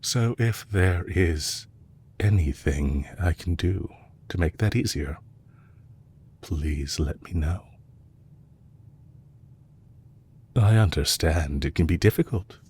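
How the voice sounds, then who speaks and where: A man playing a composed, courteous villain leader in an audio roleplay, speaking in a measured, formal way to a captive he is fond of. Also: low voice, a little mellow, husky, heavily acted. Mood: gentle, coaxing.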